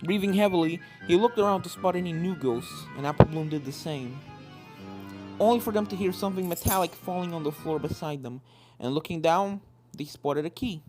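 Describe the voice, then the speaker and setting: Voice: medium pitch, fairly quick, darkish tone. A narrator reading fan fiction aloud as dramatic scripted story narration. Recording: game-style music behind the voice.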